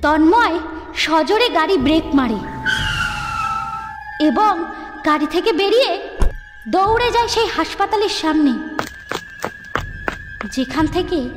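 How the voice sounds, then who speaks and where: A woman storyteller narrating a ghost story dramatically, with deliberate, suspenseful phrasing and pauses. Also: very high-pitched, animated. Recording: echoey long reverb, music underneath.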